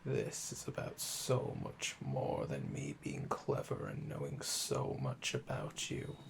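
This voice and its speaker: A male actor plays a calm, obsessive assassin in an intimate ASMR audio roleplay. His voice is heavily creaky and gravelly, and he takes his time, teasing and flirting with smug deliberation. Clear noise runs behind the voice. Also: very deep voice, takes it slowly, heavily acted. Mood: calm, controlled, flirtatious.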